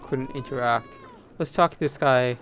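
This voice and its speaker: A man's voice: medium pitch, normal speed, fairly bright tone, slight background noise. A man giving casual commentary as he plays a video game, at times reading on-screen text aloud. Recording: game music behind the voice.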